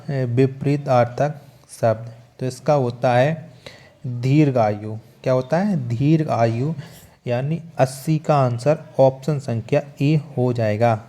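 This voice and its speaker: Male teacher, unhurried pace, soft mellow tone, exam question walkthrough, repeats key answers. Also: mid-range voice.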